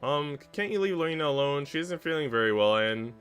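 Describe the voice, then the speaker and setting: A meek young maid played by a man speaks up high above his usual voice. She is kind and hesitant and trips on words, as in a storybook, with faint music behind. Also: expressive, quick pace, fairly bright tone, clean tone. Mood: timid, flustered.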